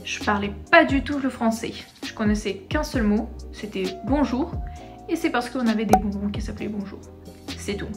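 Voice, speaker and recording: A woman in her twenties from Crimea, whose first language is Crimean Tatar, telling her own story to camera in a lively, playful way. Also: fairly high pitch, moderate pace, music in the background. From Crimea, Ukraine. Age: 20s. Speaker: vlogger.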